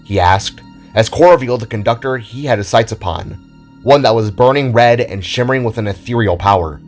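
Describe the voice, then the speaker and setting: A man's voice: mid-range voice, a little fast. A man reading a scripted fantasy story as narrator, in a dramatic storytelling manner. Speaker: narrator of an amateur audio drama. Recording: music under the voice. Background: background music.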